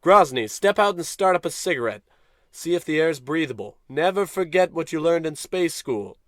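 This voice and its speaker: An actor playing a pompous, gung-ho American space captain in a parody of old radio adventure serials, declaiming orders with heroic self-importance. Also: male voice, on the high side, expressive, normal speed, no echo, clean studio sound, natural voice. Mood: pompous command.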